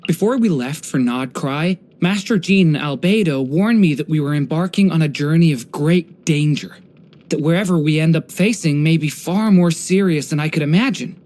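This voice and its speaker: Game role: young dragon in boy's form played by an adult actor, mid-range pitch, gentle, polite, curious and a little hesitant. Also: moderate pace, lightly acted. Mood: earnest, reflective. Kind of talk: scripted game character voice lines.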